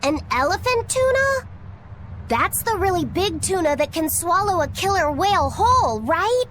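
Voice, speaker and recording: A woman's voice: extremely high pitch, sing-song, moderate pace, very noisy. A woman voicing an earnest, innocent young boy in a video game. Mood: earnest, curious. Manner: earnest, childlike.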